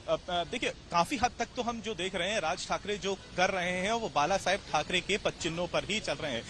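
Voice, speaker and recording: A male field reporter giving a live unscripted news update, mixing English words into his speech with some hesitant starts. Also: high-pitched. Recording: faint outdoor city noise.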